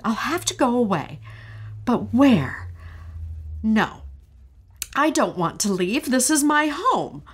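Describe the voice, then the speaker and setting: A greedy, scheming widow with a haughty, commanding manner, played by a woman in an old-time radio drama. Her voice sits fairly high and swings widely, and she takes her time over every word. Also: big pitch swings, slow pace, long pauses. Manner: haughty, imperious.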